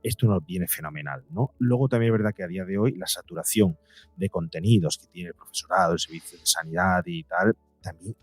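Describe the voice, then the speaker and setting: A man's voice: low-pitched, a little fast, no echo, soft background music. A man answers as an interview guest, explaining conversationally, often repeating and rephrasing as he goes. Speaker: podcast guest, psychologist and documentary creator.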